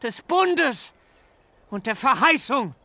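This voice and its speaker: An actor playing a wrathful, grieving old patriarch who curses with anguished outcries in an audiobook drama. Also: male voice, medium pitch, expressive, drawn-out words, creaky, no echo, heavily acted. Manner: furious cursing, rising to anguished outcries.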